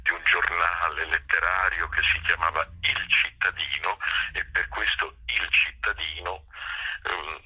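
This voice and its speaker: An older man with an extremely deep, rough-edged voice reflects in an interview. He takes his time, pausing often and repeating words as he searches for the next phrase. The sound is husky and unhurried. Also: level tone, pushed and bright, muffled recording. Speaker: theatre actor and director being interviewed. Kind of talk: reflective spoken interview answers.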